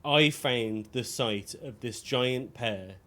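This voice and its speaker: A man's voice: mid-range voice, takes it slowly, bright, forceful tone. Male game streamer, casual and conversational, rambling storyteller with dry asides. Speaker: game livestreamer. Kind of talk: casual chat while playing a video game.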